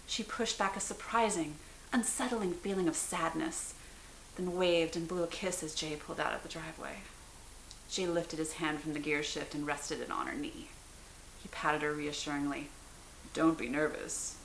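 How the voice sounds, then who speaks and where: Female dramatic narrator, lowish pitch, echoey recording with hiss. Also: fairly even intonation, moderate pace, fairly bright tone. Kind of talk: dramatic scripted story narration. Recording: roomy echo, hiss in background.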